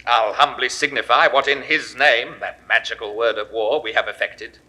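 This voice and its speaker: An actor playing a dutiful Roman officer reporting in a 1960s radio play, measured and formal. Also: male voice, mid-range voice, sharp tone, poor audio.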